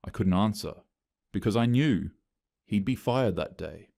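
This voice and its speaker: A man narrating a scripted first-person story with an even, flat delivery. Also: lowish voice, a little slow, creaky, no echo, clean studio sound.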